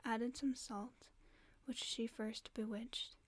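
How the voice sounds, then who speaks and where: A woman reading a fairy tale aloud as a sleep aid, in a soft, mostly unvoiced whisper close to the microphone. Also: fairly high pitch, fairly even intonation, slow pace. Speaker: ASMR storyteller. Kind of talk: whispered ASMR bedtime story reading.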